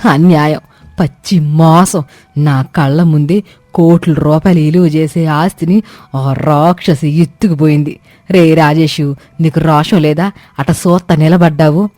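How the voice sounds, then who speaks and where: Woman acting a melodramatic grumbling village mother-in-law, mellow tone. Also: deep voice, steady tone, a little slow, dry recording. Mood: wailing, bitter. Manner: melodramatic, scolding, rustic colloquial speech. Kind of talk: animated moral story cartoon dubbing.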